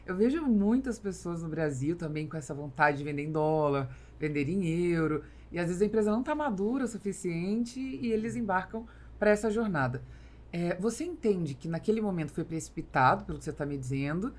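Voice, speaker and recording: A woman's voice: lowish voice, animated, a little fast, darkish tone. A woman in her thirties with a Brazilian accent, hosting a conversational business podcast interview in a studio. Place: small foam-padded podcast studio. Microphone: studio microphone on boom arm.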